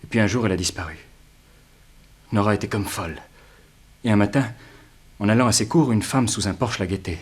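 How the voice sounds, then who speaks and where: Guilt-ridden young student, lowish husky voice, earnest and pleading, old french radio play. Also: male voice, brisk, pauses often. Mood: subdued recounting. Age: young adult. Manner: earnest, pleading, dramatic confessing. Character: anguished young male student, naive and guilt-ridden suspect. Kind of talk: 1960s French radio crime drama acting.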